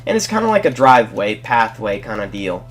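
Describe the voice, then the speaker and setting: A man's voice: lowish voice, very animated, brisk. A young man with a congested, stuffy voice narrating a casual building tutorial as a game host, rambling and correcting himself as he goes. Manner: rambling, self-correcting, apologetic. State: congested from a cold. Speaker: Let's Play host.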